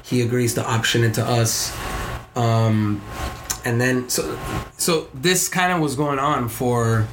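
A man's voice: fairly even intonation, slow pace, background noise. A man with a Puerto Rican accent, a podcast guest telling a story casually, with many restarts and voiced quotes of others. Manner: rambling with frequent restarts, voices others in quotes with higher pitch.

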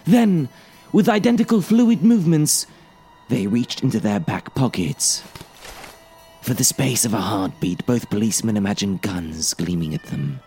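Male narrator, dramatic storytelling, lively pitch swings, theatrical, prominent music behind. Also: unhurried. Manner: theatrical, expressive. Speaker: audiobook narrator.

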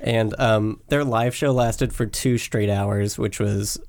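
A man's voice: fairly low pitch, slow. Young man with a cold-scratchy voice. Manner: relaxed, filler-heavy, says "like" often. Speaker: podcast host and editor. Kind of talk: casual music-recommendation chat.

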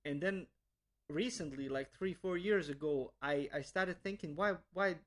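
A man's voice: a little high, measured pace. A young man from Bosnia, a language teacher, answering interview questions earnestly with many restarts and repeated words.

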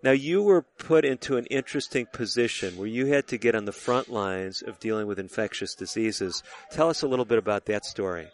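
A man hosting a radio interview show, asking questions in a measured, conversational way. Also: on the low side.

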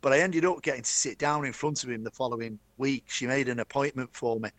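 A man's voice: medium pitch, fast, a bit bright, dry recording. A middle-aged man with a Manchester accent, telling stories from his career as a guest in a chatty, enthusiastic interview. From Manchester, England. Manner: chatty, enthusiastic, addresses host by name. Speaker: guest, stadium announcer. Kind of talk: storytelling interview.

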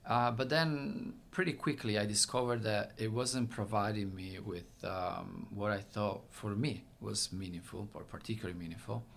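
A man's voice: lowish voice, normal speed, creaky, hardly any pauses, fairly bright tone. A man from Italy with a thick Italian accent, explaining his research in an interview, with many fillers and restarts. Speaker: researcher and center director. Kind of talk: interview answers. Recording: faint background noise.

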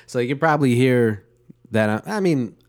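A man's voice: mid-range voice, animated, normal speed. Congested man chatting on a podcast. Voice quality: congested, nasal. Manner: rambling, self-deprecating, many restarts. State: slightly sore throat. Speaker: podcast co-host. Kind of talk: casual chat over beer.

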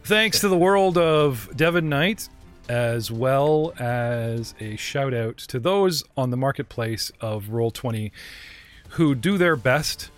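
A man's voice: medium pitch, expressive, takes it slowly, soft background music. Rambling, playful male game master. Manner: playful, rambling, stumbling restarts. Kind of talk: running a tabletop game online.

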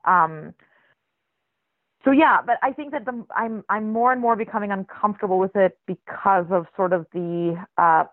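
A writer raised in Israel with a flat American accent, speaking as an interview guest in a thoughtful, searching way with many restarts. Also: mid-range voice, normal speed, dry recording. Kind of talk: reflective conversational interview. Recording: tinny phone-line recording.

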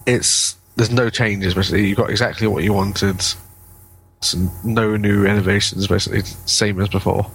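A man's voice: lowish voice, fairly even intonation, normal speed, vocal fry, a bit bright, poor audio. A man chatting casually as a podcast co-host. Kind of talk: casual gaming podcast chat. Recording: faint background noise.

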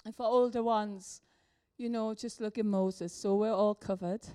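Older woman preacher, hoarse with a cold, effusive, slow delivery, mellow tone. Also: mid-range voice, steady tone. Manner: warm, effusive, exclamatory preaching. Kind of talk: sermon.

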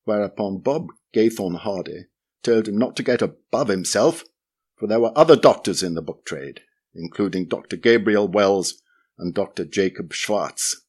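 Guy reading an old memoir out loud, lowish voice, dry and understated. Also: moderate pace. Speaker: reader of a memoir chapter.

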